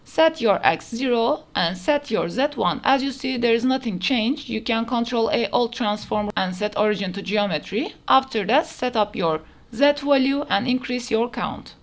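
A woman's voice: on the high side, lively intonation, unhurried. A woman narrating a step-by-step 3D modeling tutorial in plain, instructional phrasing, recorded as a voice-over. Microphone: voice-over over screen recording.

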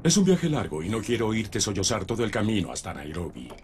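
An actor playing a ruthless, smug official in a Latin American Spanish audio drama, menacing and condescending. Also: male voice, lowish voice, very animated, brisk, a crowd in the background. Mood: cold, menacing. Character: ruthless, smug, murderous male official fleeing a doomed city. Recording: voice over loud background ambience.

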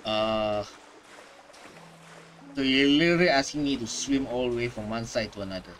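A man's voice: mid-range voice, moderate pace, fairly bright tone, poor audio. A man reacting to a game story at his desk, talking in a laid-back, hesitant way with joking asides. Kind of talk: casual reaction commentary. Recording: voice over game music.

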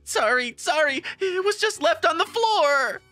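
A woman's voice: very high voice, animated, unhurried, bright, forceful tone, no room sound, exaggerated voice. A woman voicing a timid, kindhearted teenage boy who narrates his story and stammers when flustered, in a manga comic dub. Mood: flustered panic. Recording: background music under the voice.

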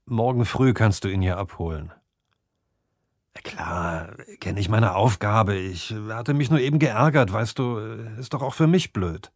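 A man acts out a village master craftsman in a fantasy audiobook. He is grudging and easily cowed, talking colloquially in a lowish voice, recorded dry. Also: a little slow, no echo. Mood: backpedalling.

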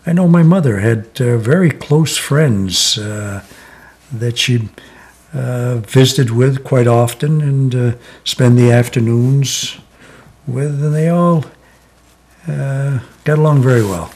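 An older man in his seventies from Connecticut, reminiscing slowly in a sit-down oral history interview, with many pauses and "uh" fillers. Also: mid-range voice, darkish tone, breathy edge. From Connecticut, USA. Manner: slow, reflective, many "uh" pauses. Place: living room, seated in armchair.

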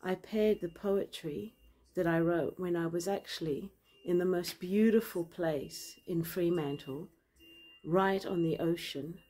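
A woman in her forties who grew up in Zambia, talking earnestly to a phone camera in a bright room with big windows, measured and then forceful. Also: fairly low pitch, breathy edge, poor audio. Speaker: vlogger and author. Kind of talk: impassioned personal address to camera. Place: indoor room with large windows and plants. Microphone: phone camera at arm's length.

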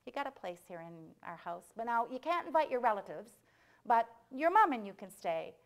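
Woman as a reluctant, soft-hearted storybook boy. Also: a little high, big pitch swings, normal speed. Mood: relenting.

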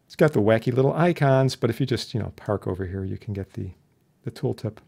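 A man instructing in an informal software tutorial, chatty and plain-spoken with a wry streak. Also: lowish voice, lively intonation, quick pace, gravelly. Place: indoors, voice-over for a screen recording.

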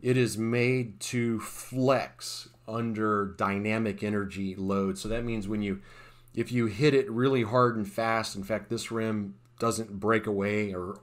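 A man, an installer, talking through the steps of a job in a plain, technical voice-over, with frequent uh's and restarts. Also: lowish voice. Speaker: sport court installer. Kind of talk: voice-over explaining a time-lapse install.

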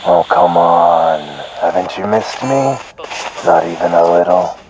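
An actor playing a wheedling, mocking and menacing young brother in an audio drama. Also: male voice, sits low, animated, a little slow, background noise. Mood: coaxing. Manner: coaxing, mocking.

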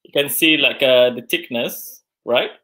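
A man's voice: mid-range voice, a little slow, pushed and bright. A man in his forties hosting a live cooking demo from his home kitchen, chatting as he works and thinking aloud with lots of fillers. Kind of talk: casual live cooking demonstration. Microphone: video call camera, moving around the kitchen.

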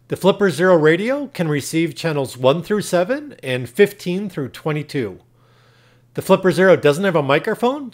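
A man hosting an instructional tutorial, narrating step by step in a plain, methodical way. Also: fairly high pitch. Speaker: tutorial host.

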